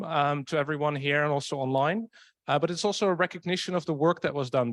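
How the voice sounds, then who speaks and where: A man with a Dutch accent giving an invited conference talk, measured and dotted with ums. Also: mid-range voice, no room sound. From Netherlands. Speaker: invited speaker. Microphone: video call.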